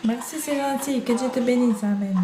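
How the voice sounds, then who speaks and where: A woman's voice: on the high side, moderate pace, poor audio. A woman with a foreign accent hosting a casual live shopping stream, chatting with viewers she calls family and reading their comments aloud. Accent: non-French accent. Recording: praise music playing behind.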